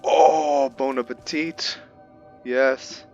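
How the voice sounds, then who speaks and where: A man's voice: mid-range voice, steady tone, drawn-out words, highs cut off, music in the background. A man giving casual let's-play commentary, thinking aloud and narrating his own moves as "we". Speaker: gameplay video creator.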